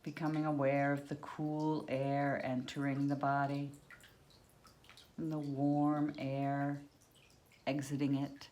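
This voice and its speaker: A woman with a low-pitched voice guides a relaxation meditation at a very slow pace. She gives soothing instructions in short phrases, leaving long pauses, with noticeable background noise on the recording. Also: little pitch movement, a little mellow.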